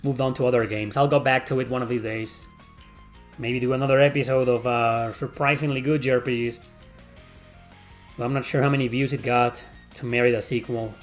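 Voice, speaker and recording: A man's voice: medium pitch, steady tone, brisk, faint music behind. Profane Mexican-accented man answering questions. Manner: blunt and profane, rambling with fillers. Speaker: YouTube host answering viewer questions. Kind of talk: casual Q&A chat.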